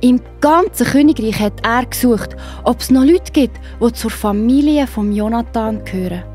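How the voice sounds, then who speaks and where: A woman's voice: a little high, moderate pace, darkish tone. Swiss German woman storyteller over music. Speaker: narrator. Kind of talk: scripted children's storytelling.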